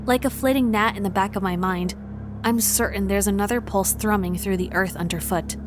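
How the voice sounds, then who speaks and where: A woman playing a wary, determined traveler, giving measured, introspective first-person narration in a visual novel fan dub. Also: medium pitch, steady tone, noisy background, close to an everyday voice. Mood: tense, determined. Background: music.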